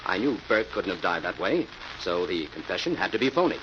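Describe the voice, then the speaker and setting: An actor playing a cool, confident crime-fighter in an old radio mystery, speaking with assured deliberation. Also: male voice, low voice, big pitch swings, brisk. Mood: assured, explaining. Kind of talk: 1940s radio acting. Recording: dull, faintly noisy old radio recording.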